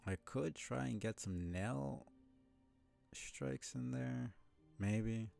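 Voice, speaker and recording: A man's voice: mid-range voice, very slow, gravelly, darkish tone, soft background music. A man giving casual live gaming commentary, thinking aloud as he plays and chatting with viewers. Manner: musing aloud while playing, chatty with viewers. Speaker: live game streamer.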